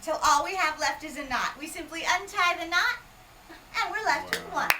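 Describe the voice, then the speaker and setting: A woman's voice: very high-pitched, normal speed, over a noisy crowd. A woman from Hawaii performing magic, teasing and quizzing a small parlour audience with comic patter. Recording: distant mic with steady background noise.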